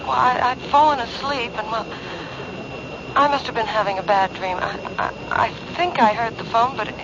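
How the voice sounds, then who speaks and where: An actress playing an uneasy, uncertain young woman just woken, speaking haltingly in a radio drama. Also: a little high, close to an everyday voice. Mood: uneasy, confused. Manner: hesitant, faltering. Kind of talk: old-time radio drama acting. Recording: noisy, muffled vintage radio broadcast.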